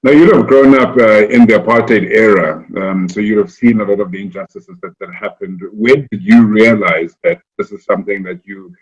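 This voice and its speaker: A man's voice: lowish voice, steady tone, moderate pace, few pauses, slightly rough. A man co-hosting a podcast, talking in a friendly, loose way with hesitant uhs. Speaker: podcast co-host. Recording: dull lo-fi sound.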